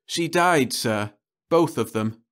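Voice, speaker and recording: A man's voice: mid-range voice, lively intonation, slow pace, a bit bright, pitched above the performer's own voice. A man voicing an earnest, polite young man who apologizes respectfully. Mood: apologetic.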